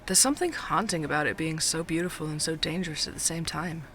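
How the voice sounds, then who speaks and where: An actress playing a feisty, outspoken young barista in an audio drama, speaking in a casual, bantering way. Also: female voice, low voice, quick pace, a bit bright, rough recording. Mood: casual, musing. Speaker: head barista being interviewed.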